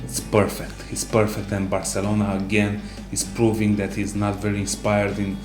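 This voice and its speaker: Low-voiced man talking sports, roomy echo. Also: monotone. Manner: halting, non-native English phrasing. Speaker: co-host. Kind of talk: casual sports discussion. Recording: roomy echo, music underneath.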